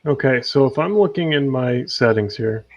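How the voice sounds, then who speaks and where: A man's voice: mid-range voice, expressive, normal speed. A man in casual chat, hesitantly reading out settings on a USB mic. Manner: hesitant, reads settings aloud.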